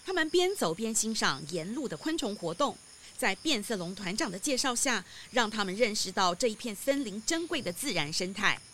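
A woman's voice: medium pitch, big pitch swings, normal speed, a bit bright, a little hiss or hum. A woman narrating a scripted children's mystery story as a storyteller. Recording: music under the narration.

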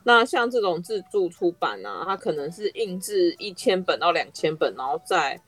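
A woman's voice: lowish voice, sing-song, normal speed, a bit bright, faint music behind. A woman with a Taiwanese Mandarin accent hosting a conversational podcast, explaining and clarifying as she goes. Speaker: podcast host. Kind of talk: conversational interviewing.

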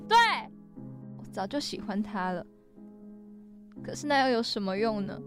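A woman's voice: mid-range voice, moderate pace, over music, close to an everyday voice. A woman acting a secretly lovesick friend defending herself with aggrieved emotion in an audio drama. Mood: aggrieved, pleading. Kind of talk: melodramatic radio drama acting.

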